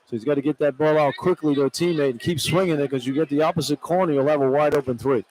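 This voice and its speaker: A man's voice: on the high side, fairly even intonation, fast, darkish tone, low-quality recording. An American man giving colloquial, tactical color commentary on a live radio basketball broadcast. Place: courtside at an indoor arena. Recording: clear arena noise behind broadcast commentary. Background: arena ambience.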